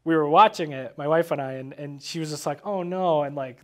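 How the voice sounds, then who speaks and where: Higher-voiced man casually talking film, halting. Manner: halting, thinking aloud.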